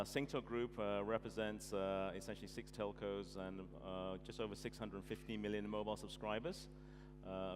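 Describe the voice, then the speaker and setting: A man introducing his venture work on a conference panel, measured, with frequent uhs. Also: fairly low pitch, background noise. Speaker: panelist, corporate venture head.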